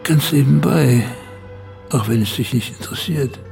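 Radio drama narrator: male, mid pitch, heavily creaky, wry and knowing, music underneath. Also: normal speed, darkish tone. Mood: wry, grave. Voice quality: gravelly. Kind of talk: scripted radio play narration.

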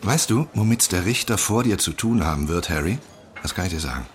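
An actor in a radio drama playing a brash, cynical friend who gives blunt, sweary, mocking advice. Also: male voice, deep voice, animated, a little fast, slight background noise. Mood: grim, forceful. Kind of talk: naturalistic radio drama acting.

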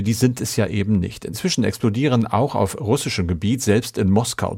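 Low, gravelly male podcast host. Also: darkish tone. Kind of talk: scripted podcast moderation.

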